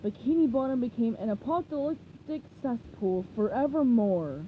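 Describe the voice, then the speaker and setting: A woman's voice: fairly high pitch, animated, normal speed, mellow, slight background noise, lightly acted, low-quality recording. A woman voicing a blustering, pompous male pirate captain in a storybook reading.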